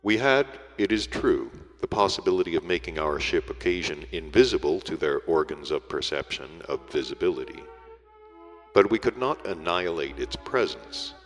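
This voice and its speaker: A man reading a literary story aloud as an audiobook narrator, in a measured, formal storytelling delivery. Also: very deep voice, expressive. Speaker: audiobook reader. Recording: echoey hall reverb, music behind.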